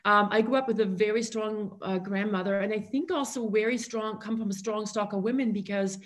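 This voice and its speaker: A woman's voice: mid-range voice, fairly even intonation, a little fast. Middle-aged Austrian-born woman telling her story. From rural Austrian Alps. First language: German. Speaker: podcast guest. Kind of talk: personal storytelling in an interview. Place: indoor room on a video call.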